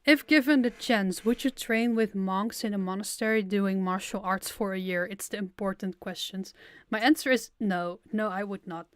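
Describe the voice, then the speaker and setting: Giggly young woman, smooth voice. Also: mid-range voice, moderate pace, no echo, studio quality. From Netherlands. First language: Dutch. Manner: chatty, giggly, self-deprecating. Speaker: audio creator streaming a Q&A. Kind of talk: casual livestream Q&A.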